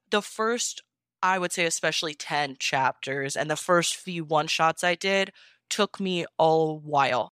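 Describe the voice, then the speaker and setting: A woman's voice: lowish voice, a bit bright, clean tone, no room sound, studio quality. A woman talking casually and enthusiastically as an interview guest, in an informal, encouraging way. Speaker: podfic narrator being interviewed.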